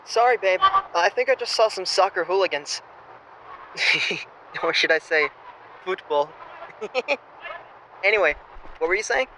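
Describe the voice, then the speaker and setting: A young man playing a flippant, self-absorbed exchange student, evasive and excitable, in an audio drama phone call. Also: high-pitched, unhurried, pauses often, exaggerated voice. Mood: flippant, playful. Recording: noisy phone-call style recording.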